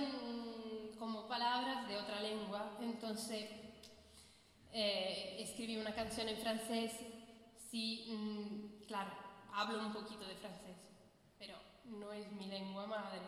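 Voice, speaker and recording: A woman talking with the audience between songs as singer and bandleader, relaxed and chatty with hesitant fillers, on a stage mic. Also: on the high side, a little hiss or hum. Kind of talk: between-song stage banter. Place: concert hall with a live audience. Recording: very echoey hall, long reverb, faint guitar.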